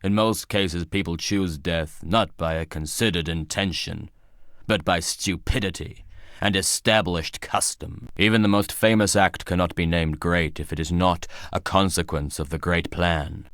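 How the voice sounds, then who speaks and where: An actor playing a weary, cryptic old town judge in a video game, delivering grave and formal pronouncements. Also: male voice, deep voice, steady tone, unhurried, a bit bright, dry recording, studio quality. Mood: weary, philosophical. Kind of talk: dramatic video game voice acting.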